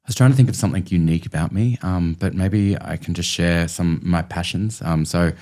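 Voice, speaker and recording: A man from Australia with an Australian accent, a relaxed interview guest who hesitates with frequent ums. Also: sits low, fairly quick. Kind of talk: casual interview chat.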